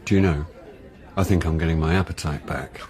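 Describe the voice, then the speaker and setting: An actor playing a wry, boozy middle-aged English amateur detective in a radio drama, with a dry, droll, wordy delivery. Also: male voice, a bass voice, fairly even intonation, brisk, a little mellow, some chatter behind. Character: wry, boozy, self-deprecating actor turned amateur sleuth.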